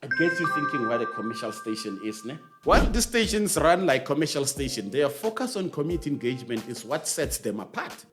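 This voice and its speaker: A man with a South African accent hosting a scripted explainer, measured and stressing key phrases. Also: mid-range voice. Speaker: host of a series on radio.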